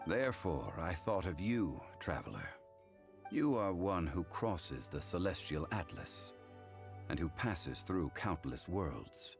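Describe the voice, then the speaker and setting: Extremely low-pitched ancient immortal man, slow delivery, solemn, wise, formal phrasing, video game dialogue, faint music behind. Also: very animated, gravelly, full character voice. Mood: reflective, solemn. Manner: formal, measured, reflective archaic speech. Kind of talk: English game voice acting, story dialogue.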